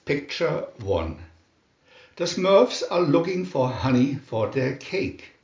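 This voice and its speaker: Lively high-pitched man reading a children's story. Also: takes it slowly, echoey room, lo-fi sound, slight background noise. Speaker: storybook narrator.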